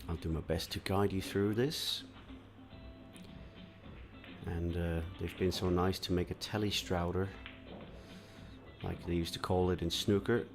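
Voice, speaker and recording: A very deep, gravelly male voice from Denmark talks a pool match through shot by shot. His delivery is relaxed and explanatory, with plenty of fillers, and music plays clearly underneath. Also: unhurried, long pauses. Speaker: pool commentator. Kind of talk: live pool commentary, reading viewer comments.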